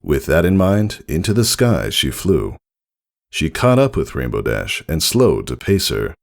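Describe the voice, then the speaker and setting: A man's voice: rumbling low, very animated, a little slow, creaky. A man narrating a scripted story in the style of an audiobook reading.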